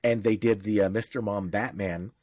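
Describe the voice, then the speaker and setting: A man's voice: on the low side, steady tone, moderate pace, darkish tone, no echo, clean studio sound. A man co-hosting a casual podcast, explaining points and often rephrasing as he goes. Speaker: podcast co-host.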